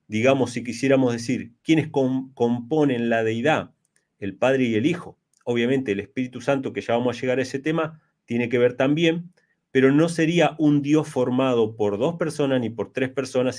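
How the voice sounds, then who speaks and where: A man in his early forties speaks as an interview guest on religion, with a mid-pitched voice. He explains point by point, repeating key phrases for emphasis and posing rhetorical questions. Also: darkish tone. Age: early 40s. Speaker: interviewee, Bible teacher.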